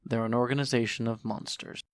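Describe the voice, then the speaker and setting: Guy doing a lazy chill skeleton dude for a fan audio drama, slangy and unhurried, dry close sound. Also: medium pitch, level tone, clear, resonant tone, no room sound, natural voice. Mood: laid-back, amiable. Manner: casual, unhurried, dropped words and slang. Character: lazy, laid-back skeleton brother who makes puns.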